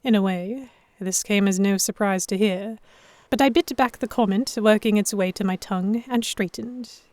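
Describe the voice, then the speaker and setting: A woman playing a proud, sharp-tongued historical heroine who narrates a pirate romance in the first person, wry and expressive. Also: mid-range voice, normal speed, no room sound, close to an everyday voice. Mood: reflective narration. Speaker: narrator and lead voice actor.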